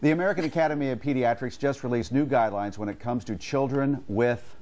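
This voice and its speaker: A man's voice: mid-range voice, fast, loud music behind the voice. A man presenting as a morning TV news anchor in a casual conversational style. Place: TV studio.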